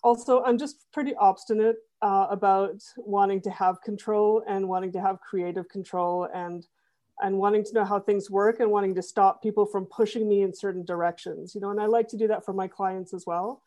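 A middle-aged woman talking through her web development work in a relaxed interview, with plenty of restarts and fillers. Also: mid-range voice, brisk. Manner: thoughtful, many fillers and restarts. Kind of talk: conversational interview.

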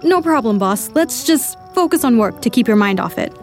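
An actress playing a pragmatic young lab scientist, brisk and informal, in an audio drama. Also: female voice, fairly high pitch, expressive, soft background music. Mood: concerned. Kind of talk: full-cast audio drama acting.

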